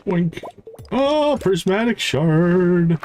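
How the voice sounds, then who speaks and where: Animated man narrating a game livestream. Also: high-pitched, very slow, soft background music. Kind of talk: casual live gameplay commentary. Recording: faint background noise with clicks.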